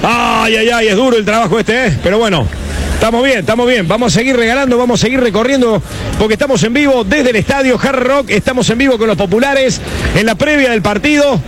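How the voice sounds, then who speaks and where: A man with an Argentine accent reporting live for radio outside a stadium, chatty and excitable, with lots of fillers and restarts. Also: very high voice, quick pace. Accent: Argentine Spanish. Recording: noisy outdoor live feed.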